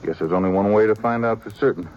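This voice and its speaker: A blunt, practical frontier lawman, voiced by a man in an old radio western, with a lowish voice and short clipped lines. Clear hiss and noise sit over the old broadcast. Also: fast, darkish tone, lo-fi sound, lightly acted. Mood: matter-of-fact. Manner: terse, clipped western talk. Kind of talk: 1950s radio western drama. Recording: noisy old radio recording.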